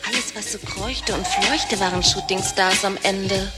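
A woman's voice: mid-range voice, steady tone, a little slow, distant mic, close to an everyday voice. A woman playing a sarcastic, weary sister, off-mic. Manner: dry, sarcastic retorts. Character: weary, sharp-tongued sister, impatient and sarcastic. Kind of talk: radio play acting. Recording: voice set back from the mic. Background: music.

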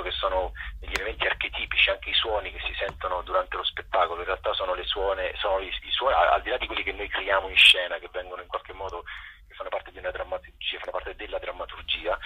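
A man with a low, very husky, breathy voice talks as a theatre director being interviewed on radio. He feels his way through sentences, pausing and rephrasing. The line is dull and muffled, like a phone call. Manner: halting, searching for words, self-correcting.

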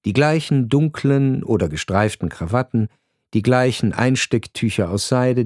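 A man reading a scripted radio narration in a grave, measured storytelling manner. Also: lowish voice, a little mellow, dry recording, studio quality.

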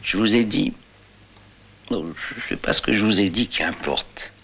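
A man's voice: fairly low pitch, normal speed, gravelly, a bit bright, breathy edge, heavily acted. An actor playing a knowing man who confronts a companion in a pointed, controlled dramatic dialogue. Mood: probing. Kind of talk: French film-like dramatic dialogue.

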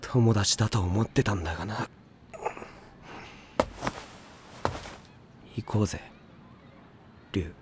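An actor playing a scrappy, devoted teenage schoolboy in an anime audio drama, rough, impulsive and overdramatic. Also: male voice, on the low side, normal speed, noisy background, lightly acted. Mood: sulky.

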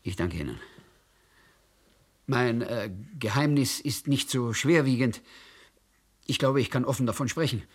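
An actor playing a hesitant, halting diplomat under questioning in a radio drama. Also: male voice, mid-range voice, lively intonation, slightly rough. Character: nervous, dignified male diplomat. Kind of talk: German radio drama acting.

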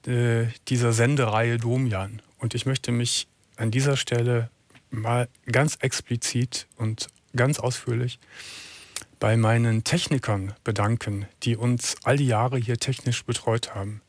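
A middle-aged man hosts a late-night call-in radio show from a dry, close studio mic. He sounds calm and reassuring, and he often restarts his phrases before finishing a thought. His pitch sits in an ordinary middle range. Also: unhurried, no echo. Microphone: studio microphone.

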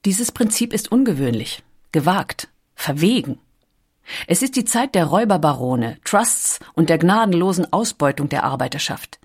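A female narrator with a slightly low voice delivers a scripted documentary. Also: lively intonation, normal speed, husky.

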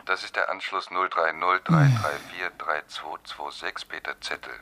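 A man's voice: low voice, animated, moderate pace, pushed and bright. An actor playing a matter-of-fact man reciting an answering machine greeting in a radio drama, heard as machine playback.

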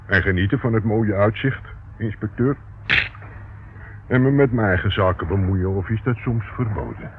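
An actor playing a shifty petty crook in a radio play, talking in a sullen, sarcastic way. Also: male voice, on the low side, moderate pace, natural voice. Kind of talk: radio play acting in Dutch. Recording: muffled, narrow-band, clearly noisy old radio recording.

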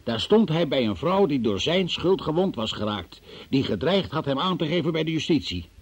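An actor playing a forceful police investigator in a radio drama, formal, probing and theatrical in his delivery, with a husky voice. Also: fairly high pitch, big pitch swings, normal speed, full character voice. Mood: accusing, forceful. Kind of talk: Dutch radio drama acting.

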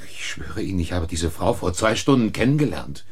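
A man wrongly cornered in a radio crime play. His low, slightly rough voice moves widely in pitch. He speaks with emphatic indignation, tending to stammer as he defends himself. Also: very animated, a little fast, exaggerated voice. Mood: flustered. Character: agitated man accused of murder, pleading his innocence. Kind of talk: German radio crime drama acting and first-person narration. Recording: vintage radio play recording.

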